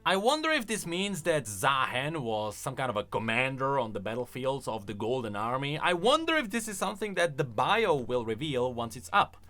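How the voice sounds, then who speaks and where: A man giving casual, opinionated commentary as a gaming YouTuber, explaining as he goes in an animated way. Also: mid-range voice, moderate pace, few pauses. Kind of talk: casual commentary on game voice lines. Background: faint music.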